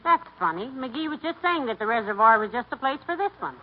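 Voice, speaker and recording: A woman's voice: fairly high pitch, animated, fairly quick, lightly acted. An American woman acting a wry, patient wife with dry teasing and fond endearments, in 1940s radio comedy style. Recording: muffled, very noisy old radio broadcast.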